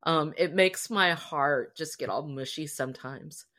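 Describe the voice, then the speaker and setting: A woman's voice: low-pitched, measured pace, a bit bright. A woman co-hosting a podcast, chatting casually and expressively about a story in an earnest way. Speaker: podcast co-host.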